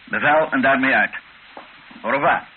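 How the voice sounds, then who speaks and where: A man's voice: mid-range voice, normal speed, lightly acted. Een man die in een hoorspel een formele legerkapitein speelt, zakelijk en kortaf. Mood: firm, curt. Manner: clipped, matter-of-fact. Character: curt, formal Congolese army captain, secretly humane. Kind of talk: radio play acting. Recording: muffled, hissy old radio recording.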